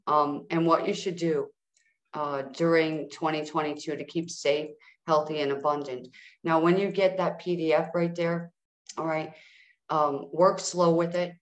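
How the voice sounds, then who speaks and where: A woman's voice: sits low, roomy sound. A woman guest coaching listeners in a soothing way, often checking in with "all right?". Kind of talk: guided healing talk.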